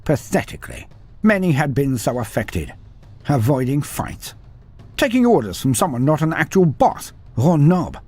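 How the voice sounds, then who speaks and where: A man reading a scripted story aloud with dramatic, expressive delivery. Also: on the high side, moderate pace, breathy edge. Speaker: narrator.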